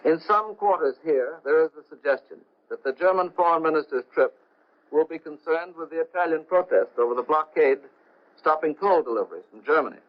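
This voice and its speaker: A man reporting overseas news on 1940s radio in a measured, formal way with occasional hesitations. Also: on the high side, lively intonation. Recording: dull, lo-fi 1940 shortwave radio broadcast.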